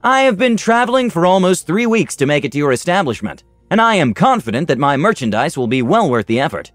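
A man voicing a polite, flattering traveling merchant in his late thirties in a told fantasy story. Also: a little high, a little fast, no room sound, faint music behind, voice pushed up. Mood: flattering, cheerful.

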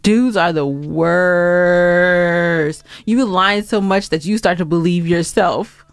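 American woman podcast co-host, lowish voice, blunt and teasing banter, dry close-mic sound. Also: slow, clean tone, dry recording. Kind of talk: casual bantering chat. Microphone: close podcast microphone.

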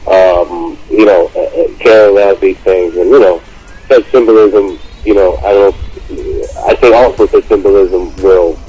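Deep, husky American man, halting delivery. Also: dark tone, soft background music, low-quality recording. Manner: halting, repetitive, searching for words. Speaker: radio show co-host. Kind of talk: casual political commentary.

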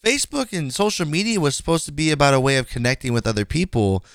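A man's voice: a little high, lively intonation, fast talker, a bit bright, dry recording, studio quality. A man in his twenties hosting a casual podcast conversation, speaking frankly and rambling with many fillers and restarts. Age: 20s. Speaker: podcast host.

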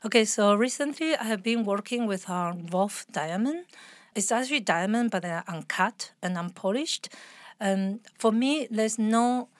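A woman's voice: medium pitch, lively intonation, measured pace, no room sound. Chatty woman, dry sound. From Taiwan. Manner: chatty, laughs often, restarts sentences. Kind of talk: casual interview conversation.

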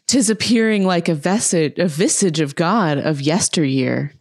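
A woman's voice: lowish voice, moderate pace, darkish tone. A woman voicing a grandiose archaeologist who declaims in old-fashioned phrases for a comedy sketch read. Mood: grand, earnest. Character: grandiose archaic-speaking archaeologist, solemn about ancient finds.

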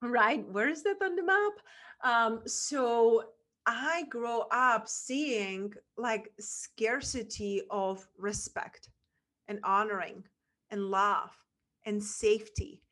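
A woman's voice: a little high, slow pace, a bit bright. A woman from the Czech Republic with a Czech accent, talking as a guest on a business podcast in an earnest, effusive way with many fillers. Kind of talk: casual interview chat.

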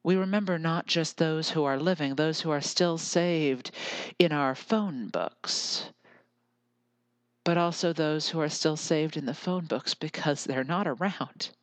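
An earnest American podcaster muses alone into the mic and slips into lyrical, repeating phrases. The voice sits at a middle pitch, and the recording is very dry, with no room sound. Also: measured pace, dry recording. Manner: earnest, musing, drifts into lyrical repetition. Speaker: podcast host. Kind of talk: reflective solo podcast monologue.

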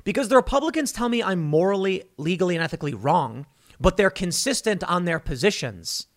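A man's voice: high voice, expressive, fairly quick. A man hosting a political commentary show, talking off the cuff in a rambling, argumentative way and repeating words in bursts as he makes his points. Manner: rambling and argumentative, voicing imagined exchanges. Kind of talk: unscripted political commentary monologue.

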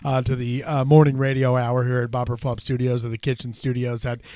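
A man co-hosting a podcast, telling stories and jokes in an animated way and swearing freely. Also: medium pitch, fairly quick, no echo, studio quality. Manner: animated, joking, swears often. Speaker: podcast co-host. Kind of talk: casual podcast banter.

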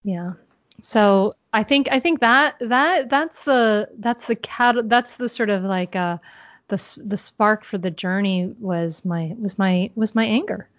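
A woman speaking as a podcast guest, talking in a reflective, halting way with many restarts. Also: medium pitch, a bit bright, dry recording. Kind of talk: podcast interview, conversational. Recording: dull, dry sound.

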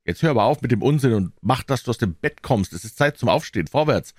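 A man voicing a brisk, tender-hearted elderly aunt who scolds in exclamations in a storybook. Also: lowish voice, very animated, no echo, studio quality. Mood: brisk, scolding.

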